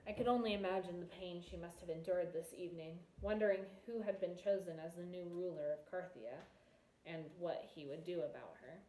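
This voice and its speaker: A woman reading a novel aloud as an expressive scripted narration, recorded in an echoey room. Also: on the low side, brisk. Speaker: audiobook reader.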